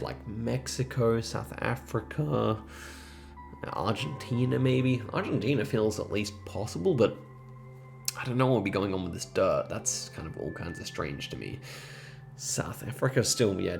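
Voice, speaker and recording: A man's voice: on the low side, vocal fry, darkish tone. A man giving casual, thinking-aloud gameplay commentary, musing and rambling hesitantly as he goes. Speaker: host of geography quiz gaming videos.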